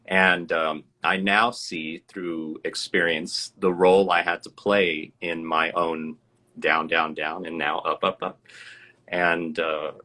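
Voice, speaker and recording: A man with a lowish, bright and forceful voice tells a personal story as a guest in a live interview. He speaks reflectively and earnestly and breaks into laughter now and then. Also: little pitch movement, takes it slowly. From USA.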